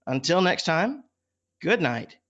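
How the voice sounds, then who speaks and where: A man hosting a casual podcast interview, speaking in a halting, informal way. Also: fairly high pitch, big pitch swings, fairly bright tone, dull audio. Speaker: podcast host.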